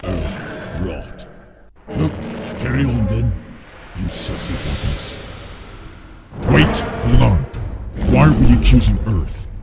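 Cartoon dub: cranky, creaky elderly kaiju grandpa played by a man, theatrical and very drawn-out, loud music behind. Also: mid-range voice, very animated, heavily acted. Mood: puzzled, grumbling. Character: elderly male giant monster, cranky foul-mouthed grandfather. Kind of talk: comic dub voice acting.

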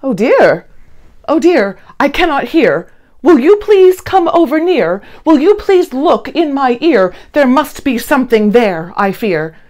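A fretful little storybook man, acted out by a woman reader, complains in a high, plaintive voice. His exclamations swoop up and down as he frets. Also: very animated, measured pace, darkish tone. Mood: worried pleading.

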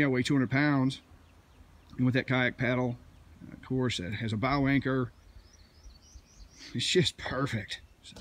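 A man's voice: mid-range voice, fairly bright tone, slight background noise. A middle-aged man from Missouri, rambling proudly and folksily while walking around outdoors with his phone camera. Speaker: vlogger showing his canoe rack. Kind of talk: casual off-the-cuff walkaround. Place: outdoors in rural woods. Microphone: phone camera held while walking.

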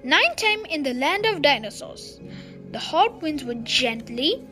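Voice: sits high, very animated, a little slow, bright, forceful tone, faint music behind. A young child reading a children's storybook aloud expressively, stumbling and repeating words now and then. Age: child, under 12.

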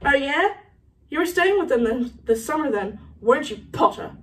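A woman's voice: sits high, animated, distant mic, slight background noise. A woman voicing a spiteful teenage schoolboy bully from a storybook, taunting with a mocking sneer. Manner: taunting, drawn-out mockery.

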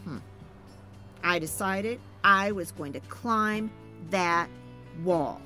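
A woman reading a children's picture book aloud, voicing the first-person narration with animated expression, soft laughs and sighs. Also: mid-range voice, slow pace, soft background music. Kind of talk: expressive read-aloud storytelling.

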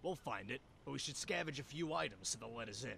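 A man's voice: mid-range voice, expressive, fast talker, creaky, bright, forceful tone, a little hiss or hum, full character voice. A man voicing a scheming, cheerful small animal salesman with persuasive patter in a cartoon adventure game. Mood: scheming, upbeat.

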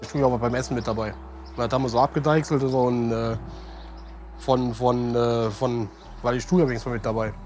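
A middle-aged man on a balcony speaking haltingly, repeating words as he searches for them. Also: mid-range voice, steady tone, a little mellow. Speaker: interviewee. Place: apartment balcony outdoors. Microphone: clip-on mic.

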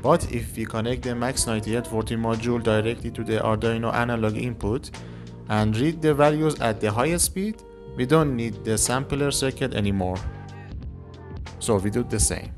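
A man in his twenties presents a hands-on electronics tutorial. His voice sits at an average pitch for a man, with light music playing behind it. He speaks as a video creator explaining things to viewers. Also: moderate pace. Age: 20s. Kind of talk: tutorial narration to camera.

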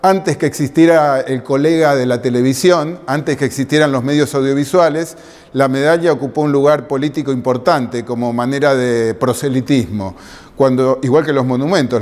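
A man's voice: medium pitch, a little mellow. A middle-aged man from Argentina with a Buenos Aires accent, giving a conference lecture with slides, rambling with many "este" and "eh" fillers. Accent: Buenos Aires Spanish. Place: lecture room with projector screen. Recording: long reverb in a lecture room.

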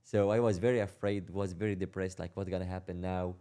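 Young syrian man, interview guest, deep dark voice, halting delivery with repeats. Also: little pitch movement, clean tone. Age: young adult. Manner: halting, repeats words, laughs while telling hard stories. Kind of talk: casual interview storytelling.

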